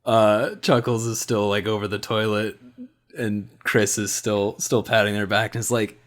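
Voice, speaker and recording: A man's voice: fairly low pitch, flat delivery, normal speed. A man playing a tabletop roleplaying game, improvising casually in slangy, laid-back wording.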